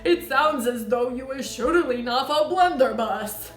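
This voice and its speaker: A woman voicing a big, friendly, excitable male giant from a children's storybook, with muddled grammar and made-up words. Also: fairly high pitch, unhurried. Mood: pained, alarmed. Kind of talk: children's storybook character reading. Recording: roomy echo, music behind.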